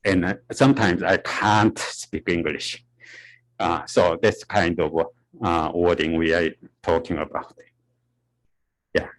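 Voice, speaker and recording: A middle-aged man from South Korea with a Korean accent, a native Korean speaker giving a conference lecture in a halting way, often repeating words and restarting. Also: deep voice, poor audio.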